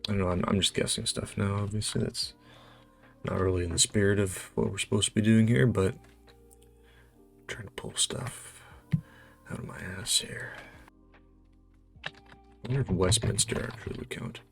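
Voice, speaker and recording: North American man, lowish voice, casual game commentary, hesitant muttering, thinking aloud, faint music behind. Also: steady tone, normal speed, vocal fry, long pauses, breathy edge. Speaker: host playing a geography quiz.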